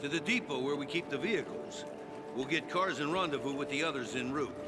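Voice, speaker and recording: An actor playing a commanding resistance soldier in a video game, giving urgent, clipped orders. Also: male voice, fairly high pitch, fairly quick, loud music behind the voice, lightly acted. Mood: briefing, matter-of-fact. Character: commanding male resistance soldier leading a squad and civilians. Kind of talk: dramatic video game acting.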